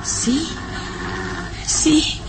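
A woman's voice: very slow, loud music behind the voice. An actress playing an anxious young woman, pleading and melodramatic, in an old radio serial. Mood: frightened, pleading. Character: beautiful, anxious young woman, devoted daughter.

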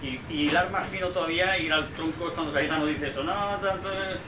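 A man talking in a casual group discussion, halting yet emphatic, with rhetorical asides. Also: fairly high pitch, fairly even intonation. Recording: roomy, clearly noisy amateur meeting recording.

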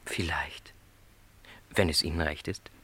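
A man's voice: a bass voice, steady tone, unhurried, pushed and bright, husky, no room sound, full character voice. An actor playing a lonely middle-aged travelling salesman in a literary radio play, speaking with courteous, reflective resignation. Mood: tentative.

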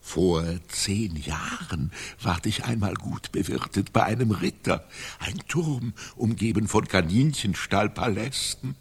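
A mournful, haughty old knight in a radio play. He declaims slowly in a low, husky voice, listing his grievances in clipped archaic phrases. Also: lively intonation, full character voice. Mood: weary, lamenting. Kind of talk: literary radio play acting.

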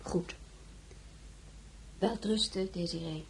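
Casting: caring household servant for radio play, lowish pitch, gravelly and homely. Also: female voice, slow pace, exaggerated voice. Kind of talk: period radio drama acting.

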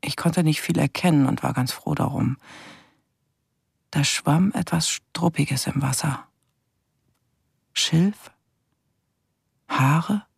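A husky rookie policewoman in a radio drama. Her voice has plenty of creak and sits in a very dry recording. She narrates earnestly, as if confiding. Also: deep voice, takes it slowly, long pauses, no room sound, full character voice. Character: inexperienced, earnest young female police officer. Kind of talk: confiding radio play monologue.

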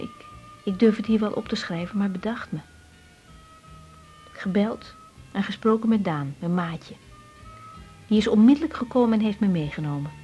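A woman's voice: medium pitch, dark tone, dull audio, natural voice. An actress playing a naive, confiding young woman doctor with emotional delivery in a 1980s radio drama, with a Netherlands Dutch accent.

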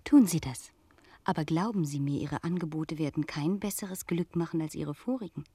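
A woman's voice: low voice, moderate pace, dark tone, slightly rough, no room sound. An actress in a German radio play voicing a scheming, worldly noblewoman with a theatrical, insinuating manner. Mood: coolly manipulative. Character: scheming aristocratic French lady, cool, worldly, feigning friendship. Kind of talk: German radio drama acting.

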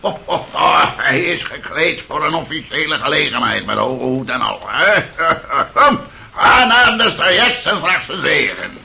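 A man's voice: a little high, sing-song, unhurried, sharp tone, full character voice. An actor playing a wheedling, buffoonish old father in a radio play, theatrical and fawning in manner. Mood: excited. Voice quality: gravelly, creaky. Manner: theatrical, fawning, exclaiming mock-humility.

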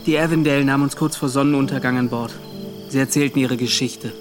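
An actor playing a haunted young ship's officer, bitter and agitated, justifying himself in a radio drama monologue. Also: male voice, mid-range voice, steady tone, quick pace, music in the background, natural voice. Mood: defensive. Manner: agitated, self-justifying, rhetorical questioning.

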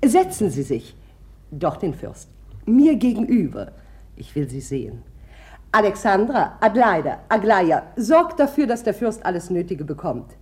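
Commanding middle-aged matron: blunt, capricious, dark-toned, highly animated intonation, old radio-drama style. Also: female voice, a little slow. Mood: imperious, appraising. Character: imperious, blunt middle-aged general's wife and mother. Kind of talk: 1950s German radio drama acting.

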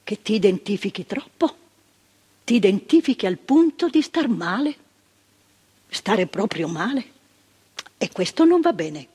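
A reflective psychiatric worker. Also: female voice, mid-range voice, big pitch swings, unhurried, pauses often, mellow, husky, heavily acted. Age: older. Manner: reflective, searching, repeating words for weight. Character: earnest woman psychiatric worker recalling the past. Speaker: actress in a stage monologue. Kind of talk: theatrical monologue. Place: theatre stage. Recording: stage performance with long hall reverb.

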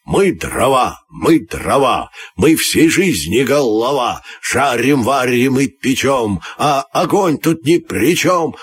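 A man's voice: mid-range voice, sing-song, slow, hardly any pauses, slightly rough, full character voice. A man voicing boastful, indignant burning logs in a children's audio fairy tale, in a bragging, exclamatory way. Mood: boastful singing.

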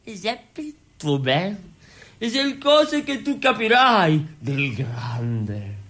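A man's voice: high-pitched, very animated, slow, a bit bright, voice pushed down, heavily acted. A man playing a pompous, scheming president in a comic radio play, blustering and commanding. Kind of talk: comic theatrical radio acting.

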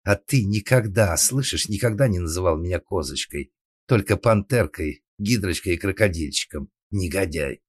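A man's voice: sits low, animated, moderate pace, breathy edge, no echo, clean studio sound. A man voicing a jealous middle-aged wife in a comic audiobook, shrieking, hissing and scolding. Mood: hurt, indignant. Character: shrill jealous middle-aged wife, furious mistress of the house.

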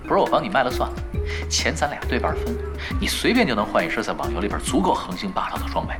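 An actor in a Chinese audio drama playing a laid-back veteran pro gamer, teasing and persuasive in an unhurried way. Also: male voice, mid-range voice, huge pitch swings. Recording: background music under the dialogue.

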